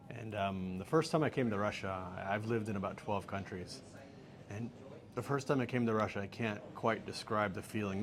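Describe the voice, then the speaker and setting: Relaxed American man, low voice. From United States. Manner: relaxed, joking, laughs easily. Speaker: interview guest. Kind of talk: casual interview answers. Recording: faint background noise.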